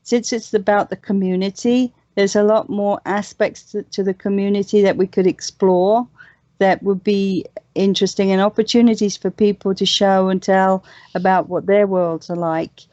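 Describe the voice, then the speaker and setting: Woman, panel guest, enthusiastic, casual discussion, medium pitch, muffled lo-fi call, dry. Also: steady tone, normal speed, dark tone, no echo. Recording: dull, lo-fi online voice call.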